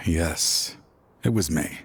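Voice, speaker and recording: An actor playing an aging, regretful former lawman and grieving father in a video game, speaking in a measured, world-weary way. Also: extremely low pitch, a bit bright, slight background noise, heavily acted. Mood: resigned, weary. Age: older. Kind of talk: dramatic video game voice acting.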